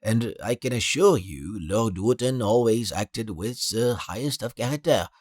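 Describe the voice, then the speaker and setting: A man's voice: on the low side, lively intonation, unhurried, darkish tone, no room sound, clean studio sound. A man reading an audiobook in a theatrical style, acting out different characters. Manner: theatrical, shifting between many characters. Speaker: audiobook narrator demonstrating character voices.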